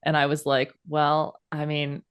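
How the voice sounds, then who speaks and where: Enthusiastic author-host chatting. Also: female voice, sits low, completely flat delivery, measured pace, clear, resonant tone. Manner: warm, enthusiastic, full of affirming "yes" and "yeah". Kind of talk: casual interview chat.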